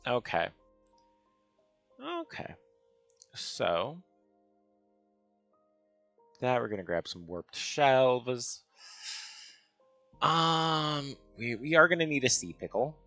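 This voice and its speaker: Male game streamer, gravelly and lowish, unhurried musing commentary, close dry sound. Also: big pitch swings, bright, forceful tone, no echo, soft background music. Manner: hesitant, musing, talks to himself. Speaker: gaming video host. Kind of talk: casual Minecraft building commentary, thinking aloud.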